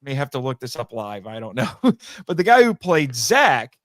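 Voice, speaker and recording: A man chatting casually as a podcast guest, telling anecdotes in a rambling, animated way and acting out bits of conversation. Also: mid-range voice, normal speed, dry recording, studio quality. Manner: rambling, animated storytelling with acted-out quotes. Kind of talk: casual anecdotal interview chat.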